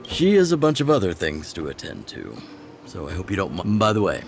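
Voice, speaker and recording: A gruff friendly resistance boss from a game, deep and croaky. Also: male voice, huge pitch swings, a little fast, faint music behind, heavily acted. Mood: genial. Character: gruff, genial mature male resistance leader. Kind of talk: video game voice acting.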